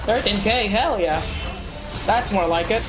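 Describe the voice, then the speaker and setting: A woman from the Philippines streaming a game, chatting casually and getting excitable as she plays. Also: mid-range voice, unhurried. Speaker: VTuber streamer. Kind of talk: casual gaming chat. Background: game music.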